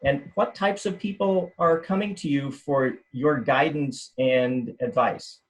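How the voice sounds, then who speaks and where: A man's voice: a little high, slow, rough recording. Man hosting a TV interview show. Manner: steady, scripted segment links. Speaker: TV show host.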